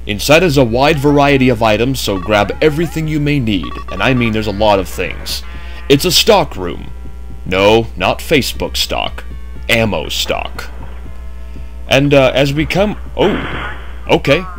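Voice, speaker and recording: A man's voice: mid-range voice, very animated, measured pace, soft background music. A man narrating a game walkthrough in a chatty way with jokey asides, just woken up. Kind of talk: casual gameplay commentary.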